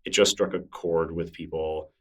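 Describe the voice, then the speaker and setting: A man's voice: low-pitched, fairly even intonation, moderate pace, distant mic. A man answering interview questions in a casual, enthusiastic way, laughing easily as he talks. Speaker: podcast guest, newsletter creator.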